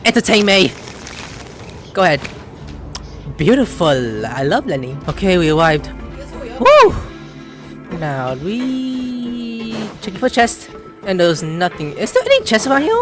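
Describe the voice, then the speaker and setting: A woman's voice: medium pitch, huge pitch swings, a little slow, pauses often. A woman hosting a gameplay video with casual, slangy, rambling commentary, reacting as she plays. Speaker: gaming video host. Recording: game music behind voice.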